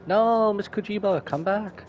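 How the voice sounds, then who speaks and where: A man's voice: sits high, measured pace, dull audio, faint music behind. A man streaming a game with casual reaction commentary, informal, muttering asides and tossing out exclamations.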